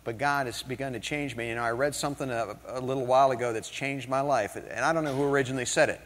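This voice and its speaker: A man's voice: mid-range voice, quick pace. A middle-aged man from the northern United States giving a humorous motivational talk, telling stories with jokes. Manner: humorous, animated storytelling with jokes. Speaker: motivational speaker. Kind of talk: storytelling keynote talk. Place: large hall before an audience. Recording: hall reverb.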